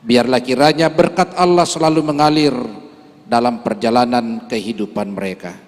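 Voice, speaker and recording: A man's voice: medium pitch, normal speed. A man from Toraja, a church leader, giving an earnest speech and then a solemn prayer at a Christmas service. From Toraja, Indonesia. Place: large church hall. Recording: long hall reverb.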